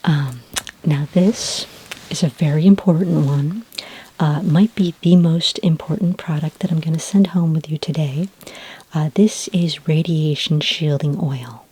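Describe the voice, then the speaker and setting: Soft breathy woman doing asmr roleplay as a consultant, mellow half whisper, calm and reassuring, kinda hesitant. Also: low voice, unhurried, low-quality recording. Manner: hesitant, gently explanatory, reassuring. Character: calm, reassuring female sci-fi skin care consultant. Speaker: ASMR roleplay performer as a skin care consultant.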